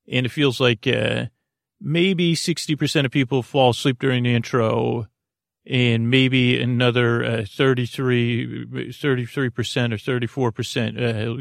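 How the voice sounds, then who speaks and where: A man with a creaky voice hosting a bedtime podcast, talking in a soothing, meandering, halting way and often breaking off mid-thought. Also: medium pitch, dry recording, clean studio sound. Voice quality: creaky, gravelly. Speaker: podcast host. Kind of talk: rambling bedtime podcast monologue.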